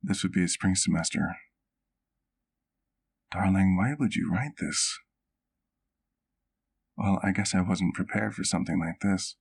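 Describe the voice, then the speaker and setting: A man playing a gentle, protective vampire doctor in an ASMR roleplay, speaking in a soft, measured, careful way. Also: sits low, fairly even intonation, creaky, husky, exaggerated voice. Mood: concerned, troubled.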